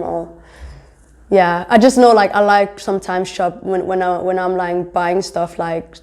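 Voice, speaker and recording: Mellow, dark-voiced young woman from Denmark. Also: lowish voice. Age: young adult. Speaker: interviewee, fashion designer and entrepreneur. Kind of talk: casual interview talk.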